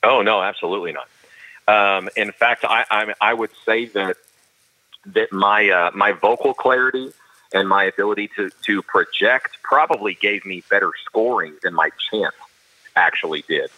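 A man with a carrying baritone voice, a professional auctioneer giving earnest, detailed interview answers with frequent restarts. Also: normal speed, pushed and bright, rough recording. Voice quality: baritone voice that carries. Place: studio.

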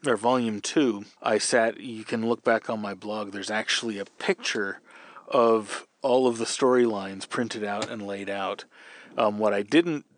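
A man's voice: on the low side, fairly even intonation, unhurried. A man hosting a podcast, casually explaining his working methods as a novelist in answer to a question. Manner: explanatory, conversational. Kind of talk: casual advice talk answering a listener question.

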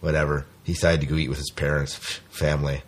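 A man's voice: rumbling low, flat delivery, fast. A man hosting a podcast in a casual, informal way, with some self-deprecating joking. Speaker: podcast host.

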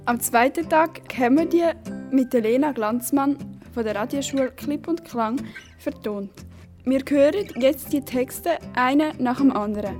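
A woman's voice: high voice, measured pace, darkish tone, faint music behind. A girl aged ten to twelve presenting a scripted introduction. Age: child, 10 to 12. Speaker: young presenter.

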